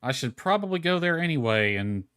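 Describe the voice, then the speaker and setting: A man's voice: fairly high pitch, sing-song, brisk, a bit bright, clean tone. A man giving casual, rambling livestream commentary as he plays a game, thinking aloud as he goes. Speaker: game streamer. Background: background music.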